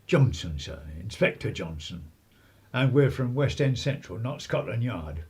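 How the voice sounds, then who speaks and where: Radio drama: male actor as a stolid constable, formal, deferential, deadpan, rough-edged mid-range voice. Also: mid-range voice, measured pace, heavily acted. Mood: firm, deadpan. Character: stolid, dutiful male police detective constable, politely unbudging. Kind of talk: radio play acting.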